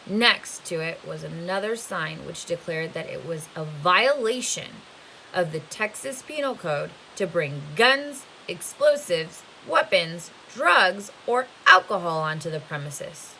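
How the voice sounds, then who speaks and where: A woman reading a novel aloud as a teacher would to students, with expressive storytelling narration. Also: on the low side, unhurried, gravelly, rough recording. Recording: faint hiss behind the voice. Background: faint steady hiss.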